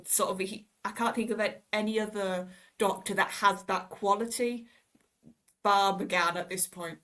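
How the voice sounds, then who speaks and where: A woman's voice: mid-range voice, fairly bright tone. A woman chatting casually as a panellist in a fan discussion podcast.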